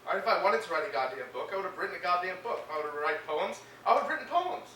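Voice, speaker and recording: An actor playing a bitter, sarcastic hospital patient, a paralysed sculptor, middle-aged. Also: male voice, a little high, animated, brisk, sharp tone, a little hiss or hum. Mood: bitter, angry. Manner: sarcastic, biting. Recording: roomy film sound from a distance.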